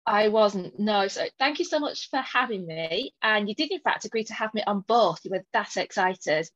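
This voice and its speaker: A woman's voice: mid-range voice, lively intonation, moderate pace, fairly bright tone, no room sound, muffled recording. Articulate woman with a mild Lancashire accent. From Lancashire, England. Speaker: author, guest. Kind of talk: interview answers.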